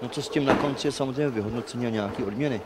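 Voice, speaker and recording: A man organizing a bowling tournament, talking casually into a handheld mic with relaxed fillers. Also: medium pitch. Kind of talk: casual on-camera bowling chat. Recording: noisy crowded room. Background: loud crowd chatter.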